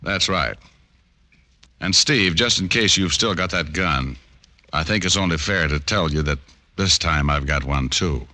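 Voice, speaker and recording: Slow-talking wry private eye. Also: male voice, low-pitched, pushed and bright, dry recording. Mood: cool, firm. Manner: laconic, dry, teasing. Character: wry, easygoing male private detective. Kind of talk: 1950s radio detective drama acting. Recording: old-time radio broadcast with music.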